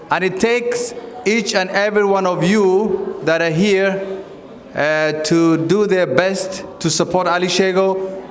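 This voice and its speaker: A man from Somalia, giving a campaign speech to a crowd and putting questions to the audience. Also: high-pitched. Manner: addresses the audience directly, asks for raised hands. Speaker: former candidate speaking at a campaign event. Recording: echoey hall, long reverb.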